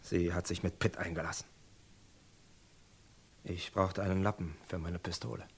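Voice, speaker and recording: A grim cattle rancher and gunslinger in a radio crime drama. The man's voice sits low. He speaks in short, weighty, deliberate phrases with stubborn resolve. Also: level tone, creaky, slightly rough, heavily acted. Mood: haunted recounting. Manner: terse, heavy, deliberate.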